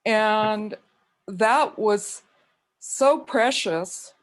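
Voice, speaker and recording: A woman from Wyoming giving a memorial tribute over a video call, speaking in what she calls a monotone and reading a prayer. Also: mid-range voice. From Wyoming, USA. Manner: slow, calls own voice monotone.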